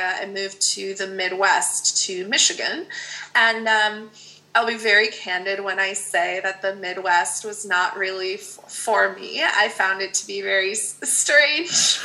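Bright-voiced candid woman podcast guest. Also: medium pitch, poor audio. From Netherlands. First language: Dutch. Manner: candid, chatty, laughs often. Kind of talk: casual podcast interview.

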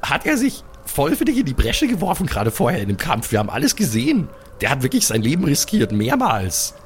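Guy doing eager young warrior dude, high voice, super earnest and hyped, role-play audio, bit of noise. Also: wildly swooping pitch, fairly quick.

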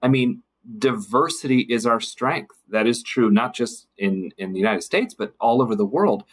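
A man speaking as a podcast guest in a thoughtful discussion, reflective and a little halting, pausing for emphasis. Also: mid-range voice, expressive, slightly rough. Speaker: podcast guest, city council member.

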